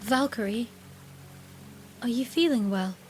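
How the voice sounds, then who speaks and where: Loyal companion for fantasy game woman acting: earnest, well-mannered, higher register, light score underneath. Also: a little slow, a little mellow, soft background music, close to an everyday voice. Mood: earnest, concerned. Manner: courteous, formal. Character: polite, earnest female companion of a divine warrior.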